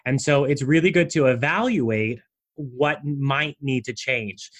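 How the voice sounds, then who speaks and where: A man speaking as a guest in a conversational interview, explaining his branding method with animated energy. His voice sits at an ordinary middle pitch for a man, and he drops in frequent casual asides as he talks. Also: measured pace.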